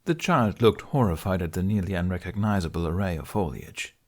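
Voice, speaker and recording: A man's voice: sits low, lively intonation, fairly quick, a little mellow. A man reading scripted story narration as an audiobook narrator.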